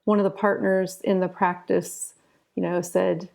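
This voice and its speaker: A woman's voice: fairly low pitch, little pitch movement, unhurried, gravelly, darkish tone. A woman in her forties with an American accent, telling her own story informally and candidly as an interview guest. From southern Ohio, United States. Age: 40s. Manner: informal, candid, often adds "you know". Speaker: interview guest, retired physician turned author. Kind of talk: conversational personal storytelling.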